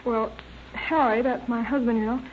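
Woman acting anxious married woman, high voice, hesitant, pleading, 1930s radio drama, thin tinny sound. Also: fairly even intonation, normal speed, natural voice. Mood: nervous, pleading. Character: nervous, frightened married woman pleading for help. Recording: tinny, noisy old radio recording.

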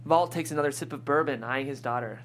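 A woman's voice: very deep voice, fast talker, a little hiss or hum. A woman reading scripted stage directions as the narrator at a table read.

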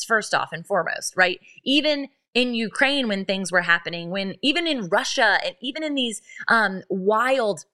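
A woman's voice: mid-range voice, bright, forceful tone. A woman in her thirties hosting a faith podcast, telling a story earnestly and with lots of animation, restarting her sentences as she goes. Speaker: podcast host. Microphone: podcast microphone, live stream.

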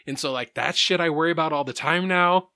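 A man's voice: a little high, brisk, sharp tone, no room sound. A man in his early forties talking candidly on a podcast, swearing freely and laughing often. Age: 40s. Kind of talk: casual chat.

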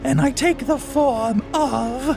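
Man as cocky gamer bard, high voice, slow dramatic delivery, self-assured, horny, animated comedy, music behind. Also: creaky, exaggerated voice. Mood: gleeful, bold.

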